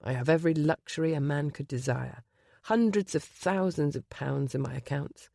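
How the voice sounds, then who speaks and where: A woman reading an audiobook, voicing a grown man, a husband whose low husky voice sounds tender and wry. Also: normal speed, dark tone, no room sound, studio quality. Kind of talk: audiobook romance character voice.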